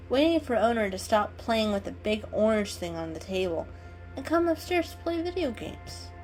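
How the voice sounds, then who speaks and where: A woman's voice: fairly high pitch, a little mellow, poor audio. A woman narrating a children's story as a dramatic reading. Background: background music.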